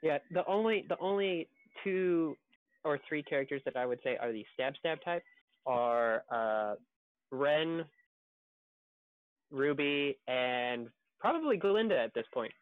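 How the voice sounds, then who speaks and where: A man's voice: on the high side, animated, unhurried, smooth, no room sound, narrow, tinny audio. Casual man co-hosting a stream, close dry sound. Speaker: audio editor and co-host on a stream. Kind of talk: casual group chat on a livestream.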